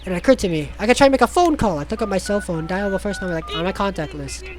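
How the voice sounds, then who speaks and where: High-pitched man doing a mocking read-aloud. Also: animated, rapid-fire, faint music behind. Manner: theatrical, sarcastic asides, stumbles over words. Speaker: host reading a visual novel aloud. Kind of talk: mocking dramatic read-aloud with ad-lib commentary.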